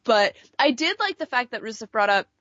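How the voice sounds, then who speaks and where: A woman's voice: on the high side, very animated, fairly quick, a bit bright, dry recording. Female podcast co-host, informal and candid commentary with wry asides, close dry sound, a little lo-fi. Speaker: podcast co-host reviewing wrestling. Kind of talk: casual chat, opinionated commentary.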